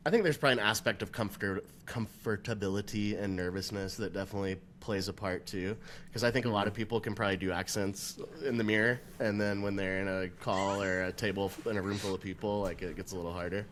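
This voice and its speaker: A man with a fairly low voice talks loosely about tabletop roleplay, trailing into "um" and "like" as he works through his thoughts. He sounds relaxed and conversational, like a dungeon master chatting with his group. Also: fast talker, slight background noise. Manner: relaxed, thinks aloud with many fillers. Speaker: podcast co-host and dungeon master. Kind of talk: casual tabletop gaming discussion.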